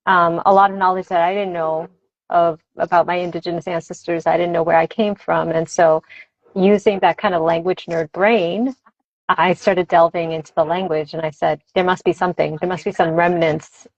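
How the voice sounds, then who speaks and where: A woman whose first language is Spanish speaks in a slightly low voice, explaining things in a conversational, teacherly way. She is reflective and keen on her subject. The sound is dry and a little dull, like a livestream. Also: steady tone, moderate pace, dry recording. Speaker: language teacher and advocate, interview guest. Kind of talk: conversational explaining in a live interview. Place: dry close room on a video livestream.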